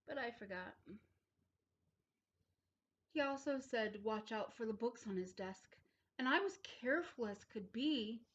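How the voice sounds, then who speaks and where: A woman reading a children's story aloud, expressively, with deliberate and emphatic phrasing. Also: medium pitch, a bit bright, highs cut off. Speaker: storybook reader.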